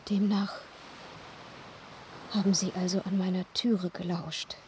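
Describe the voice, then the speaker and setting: A woman's voice: fairly low pitch, little pitch movement, a little slow, darkish tone, slight background noise, lightly acted. An actress playing a guarded, fragile young woman with a secret, formal and restrained, in a radio play. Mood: guarded, reproachful.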